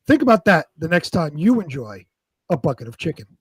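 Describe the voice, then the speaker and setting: A man co-hosting a live show, moving between amateur scripted narration and casual, halting chat. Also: on the high side, sing-song. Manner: halting, repeats words when ad-libbing.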